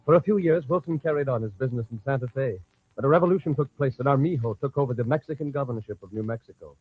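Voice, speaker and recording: An actor serving as the solemn narrator of an old-time radio western, giving dramatic scripted storytelling. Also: male voice, medium pitch, racing, husky, natural voice. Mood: grave. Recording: dull old radio recording.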